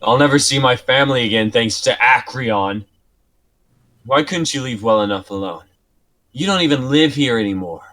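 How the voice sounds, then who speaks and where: A man's voice: medium pitch, moderate pace, fairly bright tone, close to an everyday voice. A man reading the part of a bitter, condemned male prisoner in a live dramatic reading, with an angry, cursing delivery.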